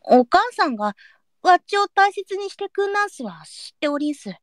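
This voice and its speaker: High-pitched, sharp-tongued young courtesan. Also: female voice, very animated, moderate pace, dry recording, clean studio sound. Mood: defensive. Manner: old brothel courtesan speech.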